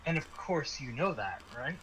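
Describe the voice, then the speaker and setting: A man's voice: a little high, very animated, normal speed, a bit bright, a little hiss or hum, rough recording. A man playing a courteous, formal male medical attendant at a secret research facility in a tabletop game. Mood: politely guarded.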